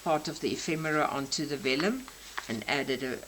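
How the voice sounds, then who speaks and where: A South African woman in her sixties, a native Afrikaans speaker, gently explaining each detail of her craft journal. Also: sits low, fairly quick, background noise. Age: 60s. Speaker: craft channel host. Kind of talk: crafting show-and-tell flip-through.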